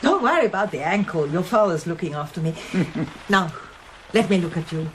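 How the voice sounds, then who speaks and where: A woman acting a middle-aged mother in a radio play. Her voice sits fairly low but moves widely in pitch, with a wistful, guarded and quietly stubborn manner. There is faint noise behind her. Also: animated, normal speed, lightly acted, poor audio. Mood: welcoming. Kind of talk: radio drama acting, with first-person narration.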